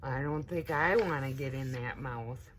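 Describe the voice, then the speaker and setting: A woman's voice: rumbling low, a little slow, a little hiss or hum. A woman voicing indignant, eager little alligator children in a storybook, protesting emphatically like children. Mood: indignant, reluctant.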